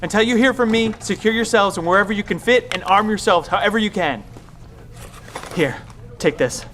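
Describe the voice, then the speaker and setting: Background noise sits under the dialogue of a man playing a scientist in an audio drama. He is middle-aged and somewhat high in pitch, urgent and earnest, a protective leader full of anxious questions. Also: brisk, a bit bright. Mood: urgent, alarmed. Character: anxious, protective male scientist leading bunker survivors. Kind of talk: naturalistic audio drama acting.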